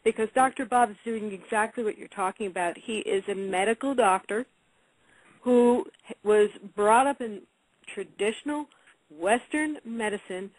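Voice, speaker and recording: A woman co-hosting a call-in radio show, answering a caller in a calm, earnest and agreeable way. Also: mid-range voice, normal speed, no echo, poor audio. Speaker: reiki practitioner, co-host.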